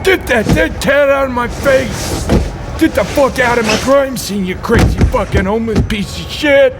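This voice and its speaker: A high-pitched, folksy police officer acted by a man, halting and plain-spoken. It is a comedy audio drama with clear noise behind the voice. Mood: exasperated. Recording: noisy outdoor-sounding background.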